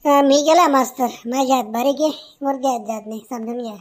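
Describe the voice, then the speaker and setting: Woman doing a high-voiced cheeky Khandeshi schoolboy. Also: fast talker, close to an everyday voice. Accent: Khandeshi Ahirani. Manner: cocky, teasing, argumentative. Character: cheeky, mischievous village schoolboy who talks back to teacher. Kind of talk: comedy cartoon dialogue.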